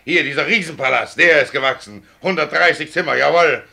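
Stubborn tram driver, sharp forceful voice. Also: male voice, fairly high pitch, a little fast, gravelly, exaggerated voice. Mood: indignant. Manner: blunt, emphatic, colloquial. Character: stubborn, indignant dismissed male tram driver fighting for his job. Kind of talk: German radio play acting.

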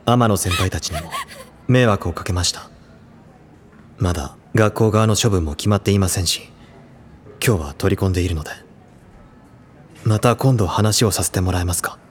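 An actor playing a solemn, tormented high school honor student in an audio drama, speaking with grave, formal restraint. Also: male voice, normal speed, long pauses, slight background noise, lightly acted. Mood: apologetic, restrained.

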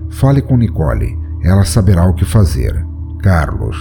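A man's voice: low-pitched, wildly swooping pitch, normal speed, vocal fry, over music. A man reading a first-person horror story aloud in a dramatic, tense storytelling manner. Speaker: narrator.

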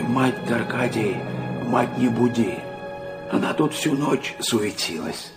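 An actor playing a frail, sick, kindly old peasant pilgrim in an audio drama, speaking in a folksy, pious, gently chuckling manner. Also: male voice, medium pitch. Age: older. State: sick and weak. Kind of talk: literary audio drama acting.

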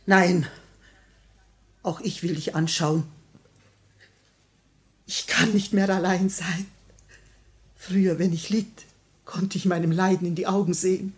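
Husky, slow, grieving grand duchess. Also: female voice, on the low side, fairly even intonation. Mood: anguished. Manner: solemn, intense, mournful. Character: grief-stricken widowed grand duchess, proud and desperate. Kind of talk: 1950 German radio play acting.

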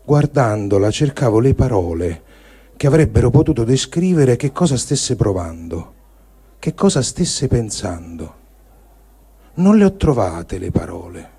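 Husky, dark-toned man reading gravely. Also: mid-range voice, very animated, unhurried. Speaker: reader of written testimonies. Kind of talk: dramatic literary reading of a war diary.

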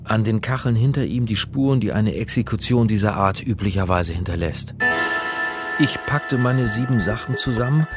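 A man's voice: lowish voice, normal speed, over music, lightly acted. An actor playing a hapless, wry crime hero who narrates his own story in a radio play. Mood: urgent.

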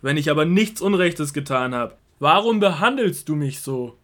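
A calm but firm holy teacher in a bible audio drama, kinda high voice, declaims it. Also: male voice, normal speed. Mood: firm, challenging.

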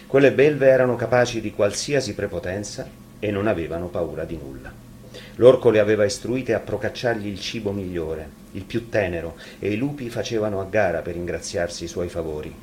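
A man's voice: lowish voice, fairly quick, darkish tone, a little hiss or hum. A man reading a fairy tale expressively, as a storyteller.

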